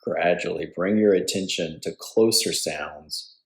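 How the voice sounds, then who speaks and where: Guy doing yoga nidra instructions, low voice, really slow and calm, pauses between phrases. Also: creaky. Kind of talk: guided meditation.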